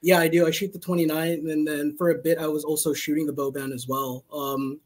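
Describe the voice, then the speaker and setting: A man appearing as a podcast guest over a video call from a small home room, relaxed and rambling, full of "like" and "you know". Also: fairly high pitch, brisk. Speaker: guest archer. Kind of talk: casual video-call chat. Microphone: video call microphone.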